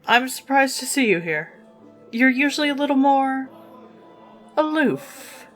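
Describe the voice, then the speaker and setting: Proud fantasy guild mistress played by a woman, high-pitched and lively, gracious and candid, over music. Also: a little slow. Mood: courteous, candid. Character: tall, proud, gracious female head of adventurers' guild. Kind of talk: fantasy audio drama acting.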